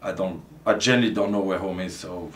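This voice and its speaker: A man's voice: low voice, fast, fairly bright tone, a little hiss or hum. A man who grew up in Italy, a filmmaker guest speaking in a wry, joking way.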